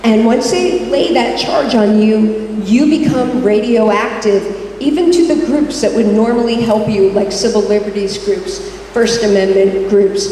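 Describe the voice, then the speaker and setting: Panelist: woman, measured and articulate, medium pitch, very echoey distant sound. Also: warm tone. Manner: articulate, measured, listing points. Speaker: panelist, whistleblower advocate. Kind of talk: prepared-sounding panel answer. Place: large hall at public panel event. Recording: distant, echoey hall, faint noise.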